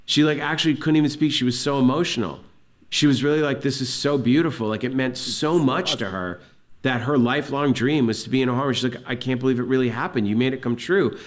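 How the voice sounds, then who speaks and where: A man in a played interview clip, telling a story in an animated way. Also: mid-range voice, fast.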